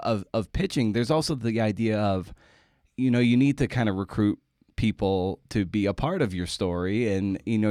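Man, podcast interviewer, lower-pitched voice, close dry sound, conversational, halting phrasing. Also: a little mellow, dry recording. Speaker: podcast host interviewing a writer.

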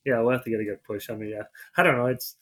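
A man's voice: mid-range voice, steady tone, rapid-fire, fairly bright tone. A man co-hosting a podcast, chatting about his week in an easygoing, rambling way and trailing off his sentences. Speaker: podcast co-host. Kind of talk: casual chat.

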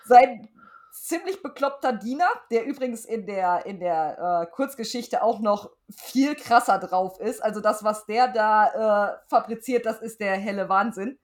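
A woman's voice: medium pitch, a little slow. A woman co-hosting a casual podcast review, talking in a colloquial, blunt and opinionated way. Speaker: podcast co-host discussing an audio drama.

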